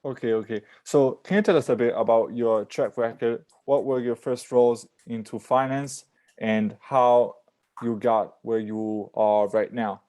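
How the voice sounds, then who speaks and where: Guy hosting an interview, warm mellow tone, short simple questions. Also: medium pitch, steady tone, a little slow. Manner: brief, plain questions. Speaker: interview host. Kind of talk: casual interview.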